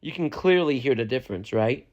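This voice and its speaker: A young man, a music producer, giving a loose, chatty step-by-step software lesson and often checking in with the listener. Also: mid-range voice, lively intonation, a little fast, muffled recording. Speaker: music producer teaching a tutorial. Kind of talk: casual step-by-step software tutorial.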